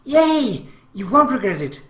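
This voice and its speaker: A bashful, lovestruck schoolgirl from a visual novel, acted out by a man, eager and pitched up. The sound is roomy and echoey. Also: expressive, moderate pace, natural voice. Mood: overjoyed. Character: shy, lovestruck teenage schoolgirl confessing her feelings.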